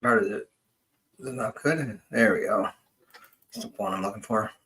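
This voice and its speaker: A middle-aged man hosting a casual livestream as a genealogist, rambling and thinking aloud in a halting way. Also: on the low side, sharp tone, breathy edge.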